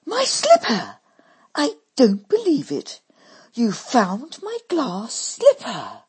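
Breathy voice, slow drawl, affected genteel tones, young woman acted by a woman. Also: wildly swooping pitch, a little mellow, exaggerated voice. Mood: delighted. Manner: shrill, then put-on genteel tones. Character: indignant young woman, later affectedly posh.

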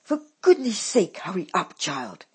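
This is a scheming stepmother witch from a storybook, bossy and scornful, snapping orders at her daughters, as a woman puts her on. The voice swoops wildly up and down and comes out very husky. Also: on the low side, wildly swooping pitch, takes it slowly, a little mellow, pitched above the performer's own voice, exaggerated voice. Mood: impatient.

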